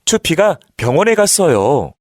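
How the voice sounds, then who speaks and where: A man's voice: fairly high pitch, big pitch swings, a little slow, dry recording, clean studio sound. A man narrating a scripted children's story, telling it expressively for young children.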